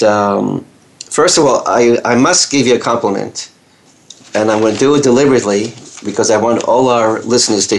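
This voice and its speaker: A man's voice: fairly low pitch, vocal fry. A man hosting a radio interview who speaks hesitantly, with many fillers and restarts. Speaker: radio host.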